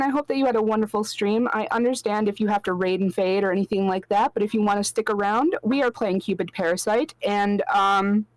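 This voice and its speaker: A woman co-hosting a game stream, chatty and relaxed, thanking viewers and rattling through her intro. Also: mid-range voice, dry recording, low-quality recording. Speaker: streamer, co-host. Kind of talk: casual game stream chat.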